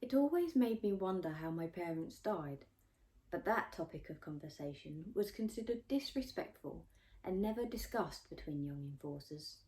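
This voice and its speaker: A woman author reading her own book aloud as expressive audiobook narration. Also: fairly low pitch, normal speed, low-quality recording.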